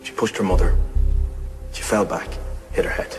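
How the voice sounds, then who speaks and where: An actor playing a shaken, remorseful man confessing haltingly on the witness stand in an audio drama. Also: deep voice, fairly even intonation, music in the background, lightly acted. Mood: shaken, guilty. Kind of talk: audio drama courtroom acting.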